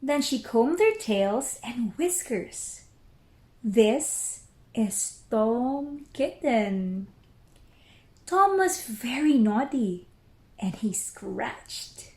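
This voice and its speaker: A woman storyteller reading a children's book aloud expressively and deliberately, with careful pauses. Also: fairly high pitch, big pitch swings, darkish tone. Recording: roomy echo.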